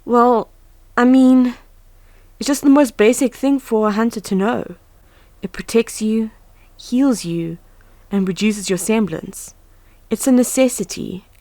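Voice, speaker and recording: A woman's voice: on the high side, unhurried, long pauses, mellow, no echo. A woman playing an earnest, anxious but composed young huntress in a fan audio drama. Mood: nervous, curious. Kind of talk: fan audio drama, first-person narration and dialogue. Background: background music.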